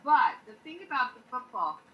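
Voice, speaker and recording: A woman's voice: on the high side, very animated, fairly quick, muffled recording. A woman chatting casually on a group video call, gushing and emphatic, often repeating her phrases for emphasis. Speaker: open mic participant. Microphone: video call microphone. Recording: noisy video call audio.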